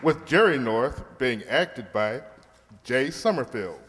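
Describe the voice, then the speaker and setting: A male announcer reads in old-time radio style. His pitch is mid and very lively, with long hall reverb and clear noise behind him. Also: takes it slowly. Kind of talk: scripted old-time radio closing credits.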